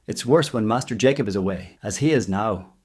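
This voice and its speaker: A man's voice: lowish voice, fairly quick, breathy edge. A man playing a bitter Irish convict in an audio drama, telling grim news in a grave storytelling way. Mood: grim, urgent.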